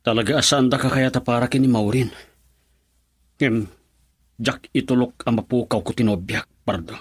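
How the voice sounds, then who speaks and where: A man's voice: mid-range voice, fairly even intonation, moderate pace, husky, no echo, studio quality, close to an everyday voice. A man playing an earnest, insistent young lover in a radio drama, speaking with a Filipino accent. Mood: resolute. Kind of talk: Ilocano radio drama acting.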